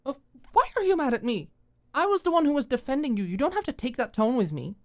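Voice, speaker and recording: A woman voicing a principled, protective young woman in an audio drama, emphatic and quick to show feeling. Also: sits high, sing-song, darkish tone, no room sound, clean studio sound, pitched above the performer's own voice. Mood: defensive. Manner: firm, exclaiming, emphatic.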